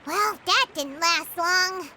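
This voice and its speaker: A woman's voice: slow, slight background noise, heavily acted. A woman voicing a tiny, curious, childlike fairy companion in a video game. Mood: curious, surprised. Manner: third-person self-reference, squeaky, childlike. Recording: loud game music behind. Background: game music.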